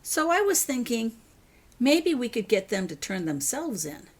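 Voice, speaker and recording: A woman's voice: fairly high pitch, very animated, a little slow, breathy edge, voice pushed up, exaggerated voice. Woman as an insistent, clever young girl. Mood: scheming. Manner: insistent, persistent. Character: insistent, clever young daughter, calm and persistent.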